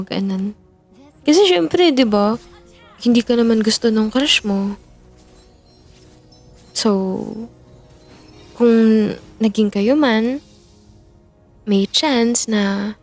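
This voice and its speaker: A woman performing an audio roleplay as a playful young best friend who teases, giggles and backtracks when flustered. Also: fairly high pitch. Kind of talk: audio roleplay, chatting while gaming.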